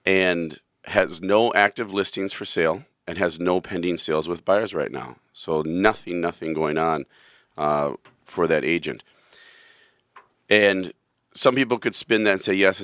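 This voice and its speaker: Hoarse deep-voiced male radio host. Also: a bit bright, no room sound, clean studio sound. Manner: rambling storyteller, self-deprecating. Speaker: radio show host, real estate agent. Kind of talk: casual radio talk.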